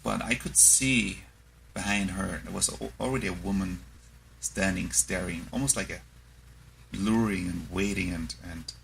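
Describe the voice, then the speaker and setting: Deep, gravelly man with an Austrian accent. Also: fairly bright tone, slight background noise, low-quality recording. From born in the US South, raised in Austria. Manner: halting, reflective, many fillers and restarts. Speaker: podcast guest. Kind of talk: casual conversation.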